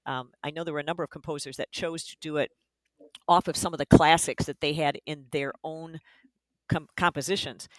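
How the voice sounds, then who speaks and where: A woman's voice: low-pitched, fairly even intonation, fairly quick, no room sound. Woman, podcast co-host, enthusiastic, long run-on sentences, dry close sound. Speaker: podcast co-host, band director. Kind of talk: casual conversation.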